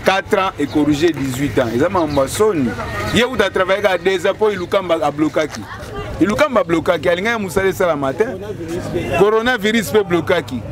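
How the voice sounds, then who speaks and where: A man's voice: high-pitched, animated, quick pace. A man in his forties, interviewed on an outdoor market street, speaking emphatically and with enthusiasm into a handheld mic held close. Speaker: resident interviewee. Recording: crowd noise outdoors.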